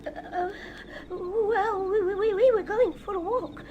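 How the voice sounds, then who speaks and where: A woman's voice: very high-pitched, fairly even intonation, takes it slowly, narrow, tinny audio, a little hiss or hum. A woman playing a cocky, scornful young boy in a radio drama, boastful and taunting. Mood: bravado.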